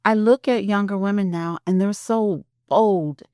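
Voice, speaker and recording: A woman voicing a hurt, insecure middle-aged wife in an audio drama, soft-spoken, hesitant and weary. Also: medium pitch, unhurried, clean tone, no echo, clean studio sound, pitched above the performer's own voice, close to an everyday voice.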